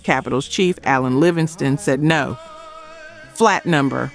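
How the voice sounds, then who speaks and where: A man delivering scripted documentary narration in emphatic short phrases with dramatic pauses. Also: mid-range voice. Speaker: narrator of a music history video. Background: background music.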